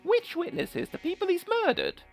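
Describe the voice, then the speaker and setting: Very gravelly, sarcastic game reader over music. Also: extremely high pitch, huge pitch swings, normal speed. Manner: sarcastic, sweary, mocking interjections. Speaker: video game player reading a visual novel aloud. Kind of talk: reading game text with joking commentary.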